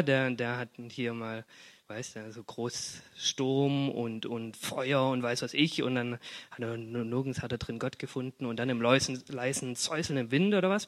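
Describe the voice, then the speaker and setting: Young Swabian man preaching casually. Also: mid-range voice. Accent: Swabian German. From Swabia, Germany. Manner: relaxed, chatty, many fillers and restarts. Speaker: guest preacher. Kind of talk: casual sermon with personal stories. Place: church hall.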